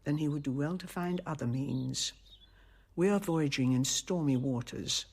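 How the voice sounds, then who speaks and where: A woman playing a shrewd, politic abbess in a radio drama, speaking in measured, stately phrases. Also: sits low, darkish tone, slightly rough. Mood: shrewd, wry. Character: shrewd, worldly, politic abbess of a Renaissance convent.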